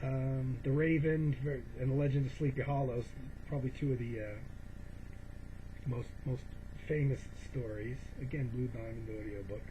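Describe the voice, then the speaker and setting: A man's voice: mid-range voice, fairly even intonation, moderate pace. A man giving a casual, rambling show-and-tell as a vlogger, hesitant and often correcting himself as he meanders along. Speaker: vlogger showing a collection. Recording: dull lo-fi home recording, clear background noise.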